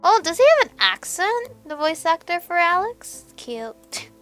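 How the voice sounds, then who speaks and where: A young woman giving casual, expressive let's play commentary, chatty and reactive. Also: extremely high pitch, measured pace, faint music behind. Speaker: gaming streamer. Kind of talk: casual let's play commentary with read-aloud game text.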